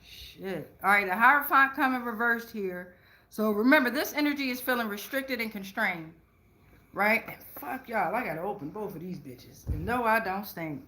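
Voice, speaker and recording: A woman's voice: medium pitch, moderate pace, bright, forceful tone, a little hiss or hum, rough recording. A woman giving a casual, rambling tarot reading, blunt and profane, full of slang and checking in with "right?". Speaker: tarot reader and channeler.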